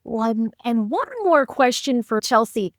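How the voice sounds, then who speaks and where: Higher-voiced woman hosting an interview podcast. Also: a little slow, no room sound, clean studio sound. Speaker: podcast host.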